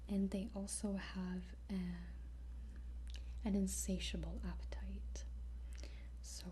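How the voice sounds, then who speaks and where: A woman speaking in a soft, breathy whisper as a guide in an ASMR roleplay, hesitant, with pauses between short phrases. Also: medium pitch, level tone. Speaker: ASMR roleplayer playing a dragon training guide.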